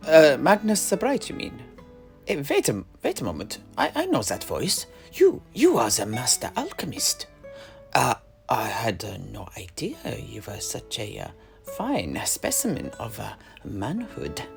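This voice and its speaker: A man performs an upright, politely incredulous knight for a fantasy audio drama. His delivery is formal and theatrical, with huge swings of pitch. Faint music runs behind. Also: huge pitch swings, gravelly, exaggerated voice. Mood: awkward, awed. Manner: declamatory, formal, halting when embarrassed. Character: proper, bewildered male knight, politely incredulous. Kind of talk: in-character audio drama dialogue.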